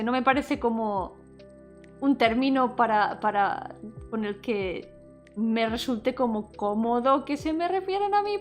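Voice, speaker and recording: High-voiced giggly woman streamer, music behind. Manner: giggly, effusive, often trailing off and repeating words. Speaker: VTuber streamer and indie game developer. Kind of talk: casual livestream chat. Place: indoor solo livestream with music behind the voice.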